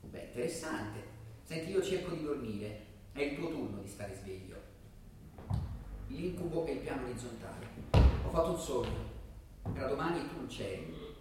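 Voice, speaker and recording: A man playing a weary, doubting would-be assassin in a stage drama, subdued, halting and low-key. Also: lively intonation, lightly acted. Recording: distant, very echoey room with faint noise.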